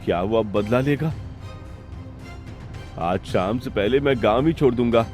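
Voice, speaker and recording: A man's voice: mid-range voice, unhurried, a little mellow, faint music behind, natural voice. A man playing a greedy, suspicious village father in a cartoon story. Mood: worried.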